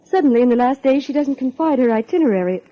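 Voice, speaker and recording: An actress playing a proper, reserved social secretary, formal and guarded, in old-time radio drama style. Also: female voice, high-pitched, animated, quick pace. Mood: confiding, uneasy. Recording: muffled old radio recording.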